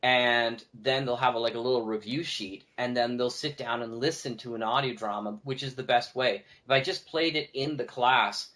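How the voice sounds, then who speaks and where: A man talking casually as a podcast guest, a teacher who tells stories in an animated way and acts out what people say. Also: mid-range voice, moderate pace, hardly any pauses. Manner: animated storyteller, acting out quoted remarks. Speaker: podcast guest, teacher and audio drama producer. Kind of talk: casual conversational interview talk.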